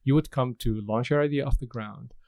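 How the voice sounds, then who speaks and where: A man's voice: mid-range voice, brisk, darkish tone. A middle-aged man from Syria, a guest talking casually about his work in an interview, easygoing and prone to restarts. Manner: easygoing, frequent restarts and laughs. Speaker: interview guest, incubator manager.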